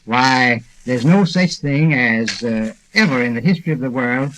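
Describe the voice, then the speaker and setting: An American man arguing off the cuff, halting and blunt, as a panelist on a 1940s radio broadcast. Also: mid-range voice, expressive, fairly bright tone, a little hiss or hum, poor audio. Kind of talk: unscripted argumentative discussion.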